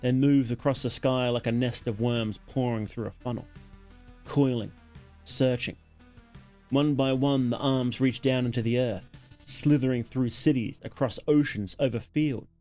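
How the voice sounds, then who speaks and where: A man narrating a horror story as a livestreamer, dramatic and solemn, in deliberate, weighted phrases. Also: mid-range voice, steady tone, darkish tone, faint music behind.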